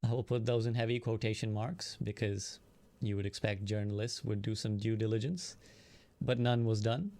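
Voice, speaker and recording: A man, an online streamer, giving a measured, prepared personal statement in earnest, articulate, formal wording. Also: on the low side, a little mellow.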